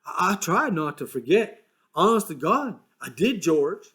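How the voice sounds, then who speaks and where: A man voicing a big, slow-witted, gentle man who stammers eagerly and pleads softly. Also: high voice, sing-song, pitched above the performer's own voice, exaggerated voice.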